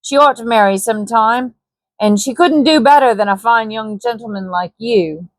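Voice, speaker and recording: A woman's voice: a little high, moderate pace, dry recording, lightly acted. A woman voicing a scheming, coarse male trader and hunter with a Cockney accent and a sly, persuasive, ungrammatical way of talking. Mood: wheedling.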